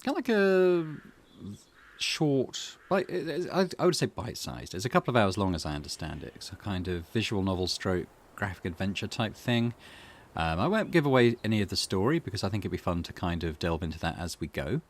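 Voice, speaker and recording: A man hosting a gaming video, giving low-key, tentative, casual commentary as he plays. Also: big pitch swings, a little fast. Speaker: gaming channel host. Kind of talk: casual let's play commentary.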